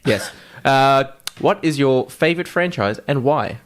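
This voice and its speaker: A man's voice: medium pitch, animated, unhurried. Man co-hosting a casual podcast. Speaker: podcast co-host.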